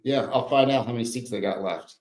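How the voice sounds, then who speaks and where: A man's voice: medium pitch, a little fast, darkish tone. A man co-hosting a podcast, bantering in friendly, teasing casual chat. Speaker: podcast co-host. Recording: roomy echo.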